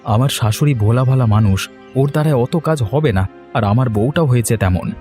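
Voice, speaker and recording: Male actor as earnest wronged son-in-law, cartoon drama; dark mellow voice, faint music. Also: medium pitch, brisk, natural voice. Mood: pleading. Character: honest, aggrieved young village son-in-law. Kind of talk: dramatic cartoon story dialogue.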